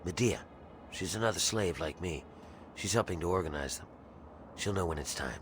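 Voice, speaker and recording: A low-pitched, gruff game character, played by a man as an embittered slave plotting revolt. He talks in a conspiratorial, matter-of-fact way, with clear ambient noise behind him. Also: normal speed, lightly acted. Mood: grim, matter-of-fact. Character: grim embittered rebel slave man scheming for freedom. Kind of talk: video game character dialogue.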